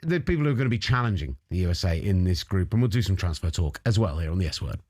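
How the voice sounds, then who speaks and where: A man hosting a sports talk show, with quick, playful banter. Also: deep voice, lively intonation, a little mellow.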